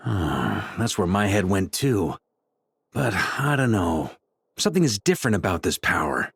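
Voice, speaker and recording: A frank, pensive man in a video game, musing informally. His voice is husky, with very animated pitch and a dry sound. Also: a little slow, no echo, full character voice.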